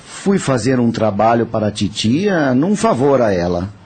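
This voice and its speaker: A man's voice: mid-range voice, very animated, moderate pace, a little mellow, slightly rough. A man playing a remorseful nephew devoted to his aunt in an audio drama, pleading and contrite.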